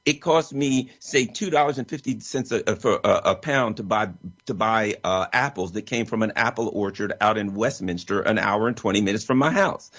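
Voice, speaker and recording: A man's voice: medium pitch, fairly bright tone. A male talk show host speaking in an impassioned, emphatic way about politics. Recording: muffled lo-fi audio.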